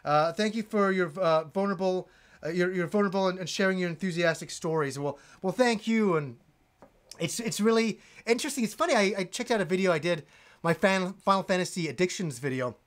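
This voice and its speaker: Excitable high-voiced gamer man. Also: fairly quick. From Yorkshire, England, raised in Canada. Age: 40s. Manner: enthusiastic, gesturing broadly, rambling with restarts. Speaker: gaming channel host. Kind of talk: live stream chat reading donations. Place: indoor room with game shelves.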